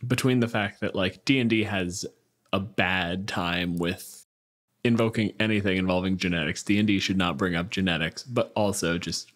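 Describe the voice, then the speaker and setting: A man from Canada co-hosts a podcast in a fairly low voice. He talks casually, mixing explanation with banter among friends. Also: steady tone, normal speed, a bit bright. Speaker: podcast co-host.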